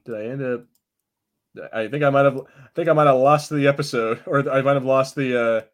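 A man's voice: mid-range voice, fairly even intonation, fairly quick. A man co-hosting a podcast, chatting casually with frequent swearing and hesitant restarts. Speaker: podcast co-host. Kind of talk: casual chat.

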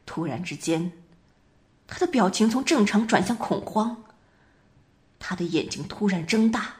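A woman plays a haunted dreamer in an amateur radio drama. She speaks slowly and gravely, with a hushed, dramatic delivery and a widely moving pitch. The telling feels detached, as if from inside a dream. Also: expressive, natural voice. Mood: hushed, eerie.

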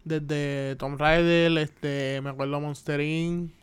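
A young man in his twenties talks with a Puerto Rican Spanish accent. His voice is very smooth and resonant and sits a little high, and he chats loosely on his own podcast, filling pauses with "este" and "pues". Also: level tone. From Puerto Rico. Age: 20s. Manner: chatty, with frequent "este" and "pues" fillers. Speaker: podcast host. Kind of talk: casual solo podcast monologue.

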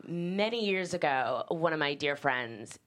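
A woman with a low voice talks as a screenwriter guest in an interview. She tells anecdotes in a loose, chatty, informal way, often dropping in "like". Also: lively intonation, unhurried, fairly bright tone. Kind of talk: casual anecdotal interview chat.

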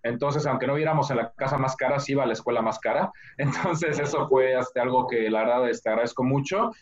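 A man from Mexico City with a Mexican accent, a guest telling his life story in a relaxed interview, full of filler words. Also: mid-range voice, brisk, noisy background. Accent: Mexican Spanish. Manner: many fillers like "este" and "¿no?". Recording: dull lo-fi audio.

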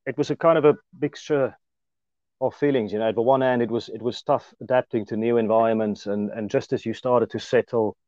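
A man in his thirties from South Africa with an Afrikaans accent, speaking as an interview guest in a relaxed, hesitant way with many fillers. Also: medium pitch, a little fast, dry recording, lo-fi sound. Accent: Afrikaans-accented South African English. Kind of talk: casual conversational interview.

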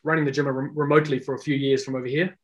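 Relaxed man, casual. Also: mid-range voice, rapid-fire, highs cut off. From South Africa. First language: Afrikaans. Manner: rambling, many fillers and restarts. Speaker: guest. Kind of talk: casual podcast chat.

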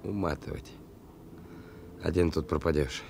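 A hungry, worn-out drifter thief in a radio play. His low, gravelly voice gives short, guarded, slangy replies over clear recording noise. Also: a little slow, a little mellow, exaggerated voice. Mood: guarded, weary. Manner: terse, slangy.

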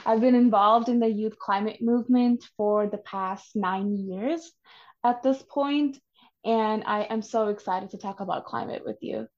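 A woman's voice: mid-range voice, unhurried, darkish tone. A young woman in her twenties from Bangladesh, a climate organizer explaining her views earnestly as a podcast guest. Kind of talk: podcast interview. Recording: dull lo-fi audio.

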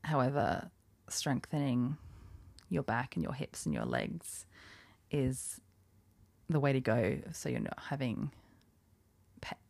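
A woman's voice: very deep voice, very animated, slow, creaky, pauses often, a little mellow, dry recording. A woman in her twenties hosting a casual solo podcast, chatty and self-deprecating, often laughing at herself. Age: 20s. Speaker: podcast host.

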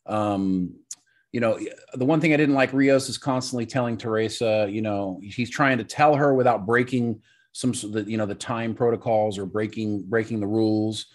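A man co-hosting a podcast, explaining his points in a measured, rambling way in casual chat. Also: fairly low pitch. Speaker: podcast co-host.

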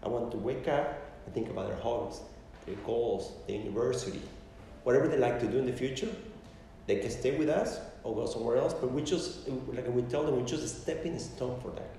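Gravelly, mellow man in an echoey hall. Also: mid-range voice, a little fast. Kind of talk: casual conversational talk. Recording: echoey hall, distant mic, faint noise.

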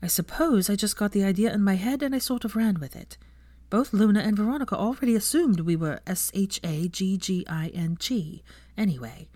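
A woman's voice: mid-range voice, expressive, fairly quick, mellow, no room sound, natural voice. A woman reading a story aloud, voicing a frank young woman who answers awkward questions defensively and a bit embarrassed. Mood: sheepish. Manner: defensive, rueful, a little embarrassed. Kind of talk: audiobook character voice.

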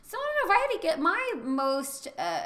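A woman's voice: very high-pitched, sing-song, brisk, a bit bright. Candid, swearing podcast woman. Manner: candid, swears freely, reads listener replies aloud. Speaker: podcast co-host. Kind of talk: casual chat.

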